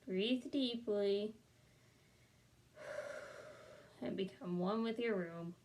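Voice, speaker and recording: A woman voicing a soothing female therapist in a storybook, speaking in a slow guided-relaxation manner. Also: medium pitch, darkish tone, slight background noise.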